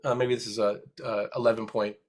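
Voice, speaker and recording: A man's voice: fairly low pitch, level tone, a little fast. A man in his thirties, a realtor and co-host, giving upbeat, emphatic advice in casual chat.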